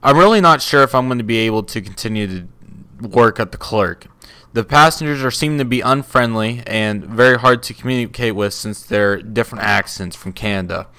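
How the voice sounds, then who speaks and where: A man's voice: on the low side, a little fast, sharp tone. Casting: man as a female airline employee, frustrated and insistent, pleading her case in a homemade skit. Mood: earnest, hesitant.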